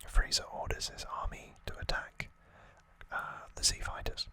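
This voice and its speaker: A person whispering softly throughout in an unhurried, informal, explanatory ASMR recap style. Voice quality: soft unvoiced whisper. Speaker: ASMR creator and host.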